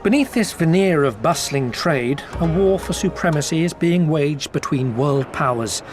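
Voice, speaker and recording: Florid merchant agent for a video game, male actor: courteous to excess, roundabout, very lively intonation. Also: on the high side, normal speed, music in the background, lightly acted. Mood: sly, confiding. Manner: florid, circuitous, unctuously polite. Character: smooth-talking, theatrically courteous male merchant and company agent. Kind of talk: scripted video game character dialogue.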